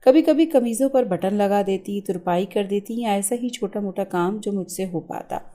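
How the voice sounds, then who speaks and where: Mellow-voiced woman narrating a story. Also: mid-range voice. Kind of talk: scripted first-person narration of an emotional Urdu story.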